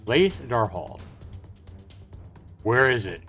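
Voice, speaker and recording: A man's voice: mid-range voice, very animated, slow pace, a bit bright. A man hosting a game livestream, reading story text aloud expressively and dropping in casual asides. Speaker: livestream host playing a visual novel. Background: game background music.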